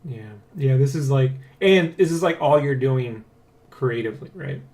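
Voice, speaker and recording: A man hosting a casual dev blog, talking in a rambling, excitable way and often checking for agreement. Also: medium pitch, moderate pace. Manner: rambling, keeps seeking agreement with "right?" and "you know?". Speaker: dev blog host and comic artist. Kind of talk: casual dev vlog chat, addressing viewers and co-worker.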